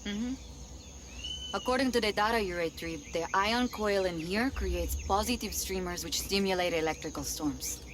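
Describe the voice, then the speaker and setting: A woman playing Mira, a brisk young hacker. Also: medium pitch, noisy background, close to an everyday voice. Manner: brisk, matter-of-fact, teasing. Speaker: hacker ally. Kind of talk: video game cutscene acting.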